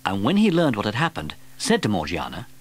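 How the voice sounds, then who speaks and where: A man narrating a children's story from a script in an expressive storytelling manner. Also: on the low side, brisk, slightly rough. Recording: vintage cassette tape. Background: music.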